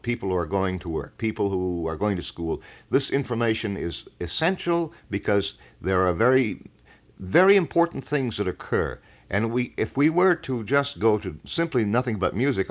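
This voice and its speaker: A man hosting a call-in show in casual, wordy, folksy announcer style, as on a 1980 local radio broadcast. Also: on the low side. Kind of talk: casual live radio chat. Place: radio studio.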